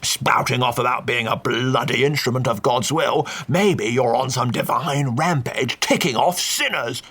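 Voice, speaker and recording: Man doing a crotchety old duke, gravelly, forceful, gruff, swearing, audiobook mystery. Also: medium pitch, moderate pace. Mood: raging. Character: crotchety old male duke, brutal and profane.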